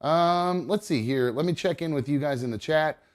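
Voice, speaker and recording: A man hosting a live podcast, chatting casually with viewers. He is stuffed up and gravelly from a cold, and he rambles with lots of fillers and restarts. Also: a little high, normal speed. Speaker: podcast host.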